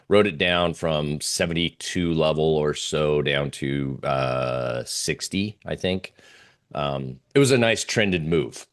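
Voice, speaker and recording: A man's voice: extremely low pitch, fairly bright tone. A man giving a casual, hesitant presentation as a guest trader, thinking aloud as he talks through charts. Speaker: interview guest, oil trader.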